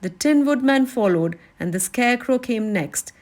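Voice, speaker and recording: A woman's voice: a little high, animated, moderate pace, darkish tone. A woman narrating a children's novel as a read-aloud storyteller. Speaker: storybook reader.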